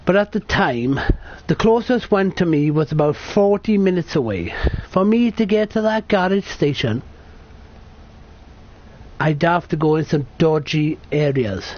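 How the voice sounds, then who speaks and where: British guy in his 30s, high voice, muffled lo-fi recording, chatty swearing storyteller. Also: animated, a little slow, a little hiss or hum. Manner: sweary, self-correcting, chatty. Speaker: vlogger. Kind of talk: rambling casual storytelling. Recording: dull lo-fi sound.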